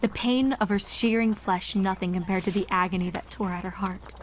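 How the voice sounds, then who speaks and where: A woman with a medium-pitched voice narrates a grim fantasy tale. She reads from a script in a dramatic storytelling style suited to horror. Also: fairly even intonation, a little fast, crowd noise. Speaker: fan fiction narrator.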